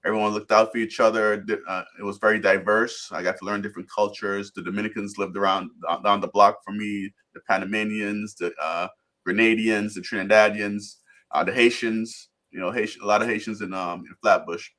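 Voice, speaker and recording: A man in his thirties from Guyana, a guest telling his life story on a video call, relaxed and rambling with restarts. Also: on the low side, brisk. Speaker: interview guest. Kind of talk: casual storytelling interview.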